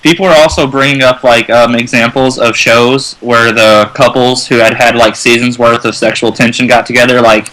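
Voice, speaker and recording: A man's voice: mid-range voice, fairly even intonation, moderate pace, few pauses, a bit bright, poor audio. A man chatting casually as a panelist on a fan radio show.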